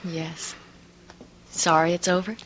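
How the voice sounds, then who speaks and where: An actress playing a tender, emotional young newlywed wife in a radio drama, speaking earnestly. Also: female voice, lowish voice, level tone, takes it slowly, fairly bright tone, a little hiss or hum, rough recording. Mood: happy, teasing. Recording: old radio broadcast with music.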